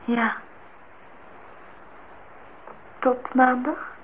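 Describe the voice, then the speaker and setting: A lovestruck, naive young woman in a radio play, quietly stubborn. Her voice is fairly high and she answers in short, plain phrases. The recording is thin as a telephone line, with faint noise. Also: flat delivery, takes it slowly. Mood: subdued, resigned. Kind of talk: radio drama acting. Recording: thin phone-like sound, noisy old radio recording.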